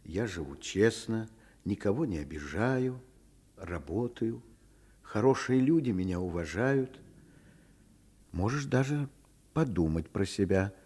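An actor playing a gentle, loving father in his thirties in a radio play, soft and fatherly in manner. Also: on the low side, expressive, unhurried, long pauses, husky. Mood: tender. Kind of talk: Soviet radio play acting.

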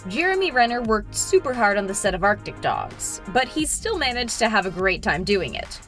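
A woman's voice: medium pitch, sing-song, normal speed, fairly bright tone. A woman hosting an entertainment countdown, reading an upbeat scripted narration. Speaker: host and narrator of a film countdown. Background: background music.

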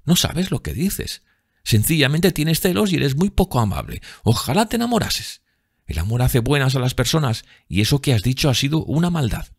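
Un hombre que da voz a una joven actriz enamorada y risueña, con un habla musical y exclamativa, en un audiolibro. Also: male voice, mid-range voice, big pitch swings, normal speed, gravelly, breathy edge, no room sound, studio quality, voice pushed up, heavily acted. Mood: scolding, affectionate. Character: giddy, lovestruck young woman, a dreamy actress.

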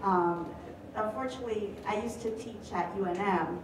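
A woman professor of Spanish asks a long question from the audience, pausing on many ums. She is heard from far away in a large echoing hall. Noise runs steadily underneath. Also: mid-range voice. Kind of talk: audience question at live event. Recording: echoey hall, far from mic.

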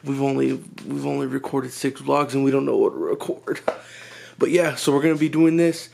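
A man's voice: mid-range voice, fairly even intonation, brisk, creaky, background noise. A young man talking to a handheld camera at home in a joking, teasing way. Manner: joking, teasing, laughs often. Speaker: vlogger. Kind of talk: casual vlog chat. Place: small house rooms, indoors. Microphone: handheld camera at arm's length.

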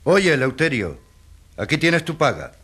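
An actor playing a callous, husky-voiced boss who browbeats a poor labourer, in a radio drama. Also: male voice, on the high side, sing-song, moderate pace, fairly bright tone, heavily acted. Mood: dismissive. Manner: scornful, browbeating, insulting. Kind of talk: Spanish-language radio drama acting.

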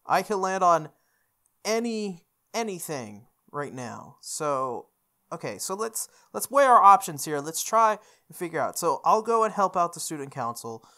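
Lively let's play host reading a game aloud. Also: medium pitch, a little slow. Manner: reads the lines in character, then comments jokingly to viewers. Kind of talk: reading game text aloud with casual commentary.

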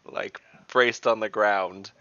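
A man's voice: on the low side, steady tone, takes it slowly, bright, forceful tone, no echo, dull audio. A young man playing a tabletop game over a video call, chatting casually and hesitantly. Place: indoor room at home, on a video call.

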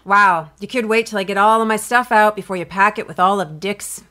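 An actress playing a sensible, exasperated middle-aged ex-wife in a comedy podcast, blunt and dry. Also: female voice, medium pitch, fairly quick, sharp tone, lightly acted. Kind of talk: audio comedy acting.

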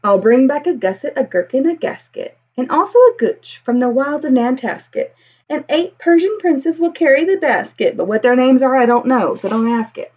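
A woman's voice: high-pitched, lively intonation, normal speed, darkish tone, breathy edge, muffled recording. A woman in her thirties reading a children's picture book aloud expressively, with a singsong, rhythmic delivery. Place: small indoor room with bookshelves.

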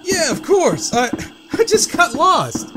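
A man's voice: very high voice, huge pitch swings, slow pace, over loud music, full character voice. A man playing a chatty, casual tough guy in a comic book dub. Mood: glib, nervous. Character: chatty, weary male police officer; also glib crook.

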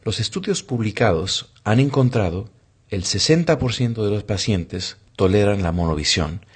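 Male narrator for medical explainer: deep, husky, formal, instructional scripted read. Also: expressive, normal speed.

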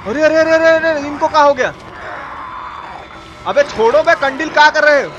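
An Indian man with a Bihari Hindi accent giving excitable, slangy live game commentary and often repeating himself. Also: very high-pitched, moderate pace, muffled recording, over music. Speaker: game streamer. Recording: clear game noise behind voice. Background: horror game sound effects and ambience.

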